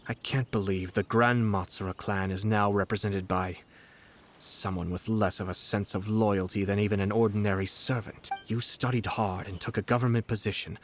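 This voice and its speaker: An actor playing a composed, shrewd young nobleman in a video game, speaking eloquently with measured, subtly mocking courtesy. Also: lowish voice, close to an everyday voice. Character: composed, shrewd young noble lord, politely cutting commissioner.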